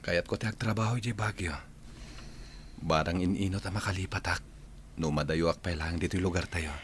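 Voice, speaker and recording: A very deep-voiced Ilocano young village son, played by a male actor, earnest and respectful. He speaks in radio drama style over faint noise. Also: fairly even intonation, moderate pace, close to an everyday voice. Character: dutiful, respectful grown son from a rural village. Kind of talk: Filipino radio drama acting.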